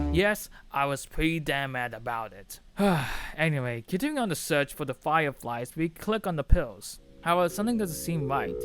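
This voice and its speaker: A man reading scripted video essay narration about a game, informally, with wry asides. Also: medium pitch. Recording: loud music bed behind voice. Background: music.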